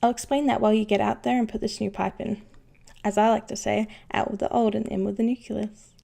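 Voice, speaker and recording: A woman's voice: medium pitch, fairly even intonation, brisk, gravelly, dark tone. A woman playing a knowledgeable, unflappable ship's engineer who explains things patiently in an educational cartoon. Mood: matter-of-fact. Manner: patient, instructive. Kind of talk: scripted educational explanation in animation.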